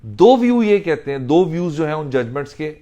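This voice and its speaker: A man explaining legal rules in a deliberate way, mixing in English legal phrases. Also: on the high side, very animated, dark tone. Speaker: legal instructor.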